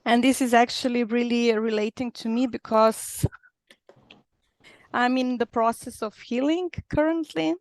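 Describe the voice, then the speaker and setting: A woman from Slovenia, a non-native English speaker with a Slovenian accent, introducing herself haltingly with frequent pauses and fillers. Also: a little high, fairly even intonation, no echo. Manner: hesitant, many pauses and fillers. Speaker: session participant.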